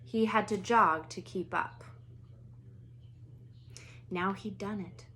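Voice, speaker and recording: A woman's voice: medium pitch, takes it slowly, bright, forceful tone. Woman reading a storybook aloud, light background noise.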